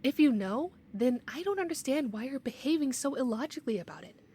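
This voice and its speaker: A woman's voice: high-pitched, lively intonation, brisk, warm tone, husky. A woman playing a skeptical, by-the-book investigator in an audio drama, speaking precisely and argumentatively. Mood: insistent. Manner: precise, argumentative, matter-of-fact. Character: skeptical, bookish young female paranormal investigator, stubborn and by-the-book.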